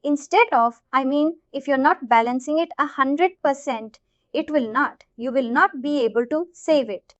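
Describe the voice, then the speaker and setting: High-pitched Indian woman teaching, dull audio. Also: moderate pace, dry recording. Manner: repetitive, checks that listeners follow. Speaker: software instructor. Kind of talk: informal live tutorial explanation.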